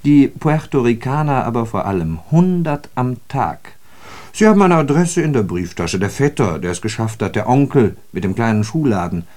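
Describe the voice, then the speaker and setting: An actor playing a sardonic, disillusioned socialist who observes city life in a radio drama, with ironic, declamatory and emphatic phrasing. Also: male voice, mid-range voice, big pitch swings, moderate pace, darkish tone, natural voice. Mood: sardonic, observant. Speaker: radio play speaker. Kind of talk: literary German radio drama monologue.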